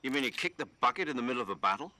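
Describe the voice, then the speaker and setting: An actor playing a brisk male commanding officer giving orders over the radio in a game cutscene. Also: mid-range voice, expressive, sharp tone, slight background noise. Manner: brisk, lecturing. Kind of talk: video game cutscene acting.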